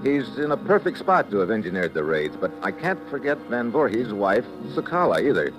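Tough American secret agent, low voice, terse, hard-boiled, old radio broadcast with prominent music. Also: male voice, sing-song, a little fast, poor audio. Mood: hard-boiled, brooding. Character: tough, suspicious male secret agent narrating his adventure. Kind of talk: 1950s radio drama acting and narration.

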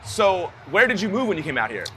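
A man's voice: high voice, sing-song, fast talker, sharp tone, slight background noise. A man interviewing a guest in person, asking curious questions and giving short prompts.

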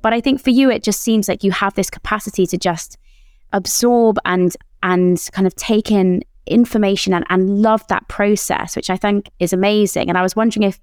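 A woman hosts an interview, framing her questions in a thoughtful, reflective way. Her voice is smooth and clear, captured close with no room sound. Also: mid-range voice, steady tone, normal speed, a little mellow, dry recording. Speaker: host. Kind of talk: podcast interview.

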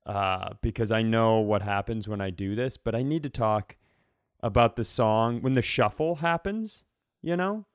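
A man co-hosting a comedy podcast in casual chat, rambling through animated, mock-serious explanations. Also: fairly low pitch, a little slow, clean tone, no echo, clean studio sound. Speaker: podcast co-host.

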